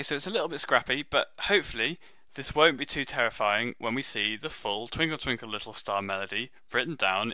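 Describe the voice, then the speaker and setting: A man with a low, deep voice teaching music theory in an online lesson, explaining step by step in a friendly, self-deprecating way. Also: sharp tone, no echo.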